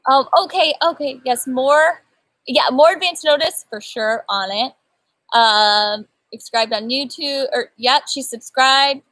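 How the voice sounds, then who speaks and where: A woman's voice: sits high, a little slow, fairly bright tone, dry recording, rough recording. A young woman yoga teacher, a guest on an outdoor live stream, chatting casually and reading viewer comments aloud into a laptop webcam. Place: outdoor patio by a house.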